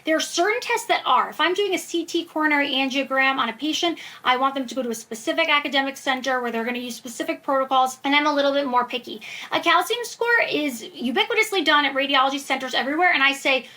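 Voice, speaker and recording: Female medical expert for podcast interview: very high, forceful bright voice, emphatic and reassuring explainer. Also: animated, fast talker, hardly any pauses. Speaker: guest expert, preventive cardiologist. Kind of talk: conversational podcast interview answers.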